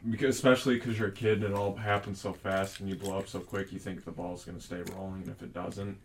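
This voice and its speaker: Low-voiced young man, rambling casual talk. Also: flat delivery, fast talker, distant mic, slight background noise. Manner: profane, rambling, argumentative. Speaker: podcast host. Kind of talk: casual chat.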